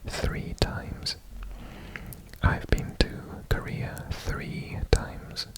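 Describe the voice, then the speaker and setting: Slow whispering man repeating words. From Wales. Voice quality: whispered throughout. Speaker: ASMR creator. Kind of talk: whispered ASMR quiz.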